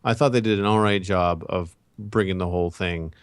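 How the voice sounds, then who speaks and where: A man's voice: low-pitched, a little fast, clean tone, no echo, clean studio sound. A man co-hosting a casual pop-culture chat, talking in a rambling, opinionated way. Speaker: podcast co-host.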